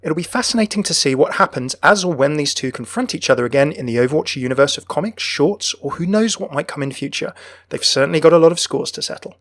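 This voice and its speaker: A man's voice: fairly high pitch, lively intonation, quick pace, dry recording. A young man hosting a gaming channel, delivering scripted lore commentary in an enthusiastic manner. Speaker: video game channel host. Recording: dry close voice over music. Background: background music.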